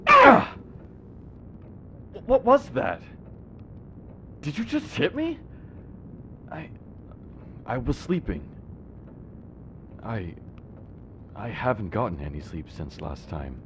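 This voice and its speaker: A man's voice: mid-range voice, very animated, slow, pauses often, background noise. A man voicing a timid, weary, reluctant talking storybook in an analog horror cartoon, hesitant and faltering as he grumbles. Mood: startled, groggy.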